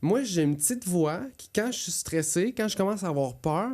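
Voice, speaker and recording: A man's voice: high-pitched, expressive, moderate pace, darkish tone. A man with a Quebec French accent co-hosting a podcast, talking casually and candidly about himself in colloquial words. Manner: candid, colloquial, acts out inner thoughts. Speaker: podcast co-host. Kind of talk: casual conversational personal testimony.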